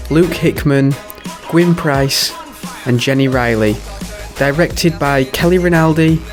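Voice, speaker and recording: A man announcing scripted closing credits for a radio play. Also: a little high.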